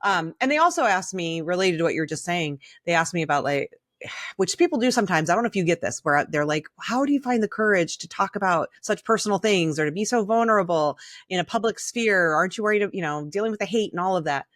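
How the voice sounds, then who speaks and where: Blunt middle-aged woman, slightly low voice. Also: animated, fast talker, no room sound, studio quality. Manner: opinionated, blunt, swears freely. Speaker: podcast co-host. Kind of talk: candid casual conversation.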